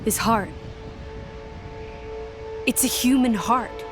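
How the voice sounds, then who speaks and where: A woman's voice: on the high side, slow pace. An actress playing a proud, blunt young woman warrior with hidden hurt in a video game cutscene. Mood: grave. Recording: music behind the voice.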